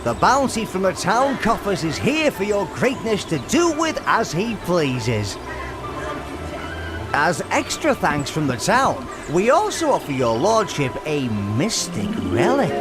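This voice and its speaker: Gushing, high-pitched town official. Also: male voice, huge pitch swings, measured pace, over music, full character voice. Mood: grateful, flattering. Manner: effusive, deferential, pompous. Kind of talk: comic video game character acting.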